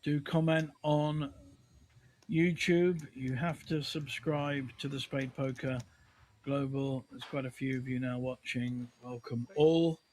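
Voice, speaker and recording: A man's voice: medium pitch, fairly even intonation, slow pace, a little mellow, poor audio. A man giving chatty, conversational live commentary on a poker livestream.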